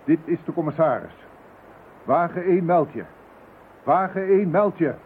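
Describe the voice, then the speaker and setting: High-voiced irascible police commissioner. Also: male voice, lively intonation, moderate pace. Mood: irritated, commanding. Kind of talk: radio drama acting. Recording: tinny, band-limited, hissy radio play recording.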